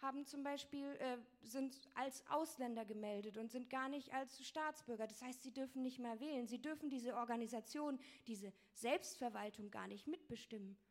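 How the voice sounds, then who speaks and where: Young woman giving a talk, a bit high voice, lots of ums and restarts, explaining stuff. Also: normal speed, hardly any pauses. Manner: explanatory, fluent with frequent fillers and restarts. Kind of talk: presentation with slides.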